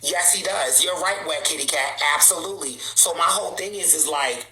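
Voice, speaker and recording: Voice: medium pitch, moderate pace, pushed and bright, reverberant, poor audio. A livestream host, born in Trinidad and raised in Boston, talking bluntly and sassily to viewers. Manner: blunt, sassy, outspoken. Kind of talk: livestream rant answering viewers.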